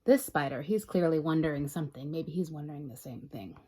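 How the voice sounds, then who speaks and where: A woman reading a picture book aloud as a storytime librarian, expressive and talking directly to young listeners. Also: deep voice, fairly quick, a little hiss or hum. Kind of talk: children's read-aloud narration.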